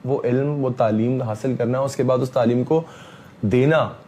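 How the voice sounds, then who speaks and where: A man, speaking emphatically as an interview guest, repeating himself and often pausing on fillers. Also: mid-range voice, steady tone, warm tone, slight background noise. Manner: emphatic, repetitive, frequent fillers. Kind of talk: heated interview talk.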